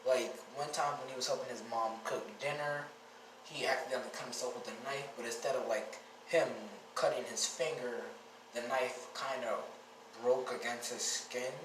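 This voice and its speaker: A man with a scratchy, raspy voice and a stuffy nose from a cold, rambling through a story and often correcting himself. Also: mid-range voice, fairly even intonation, moderate pace. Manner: rambling, with frequent self-corrections. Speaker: fan-fiction storyteller. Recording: roomy, distant mic, noisy background.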